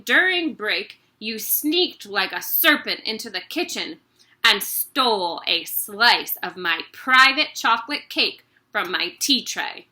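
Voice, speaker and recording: A woman voicing a huge, bullying headmistress who barks and shouts insults at a pupil. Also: fairly high pitch.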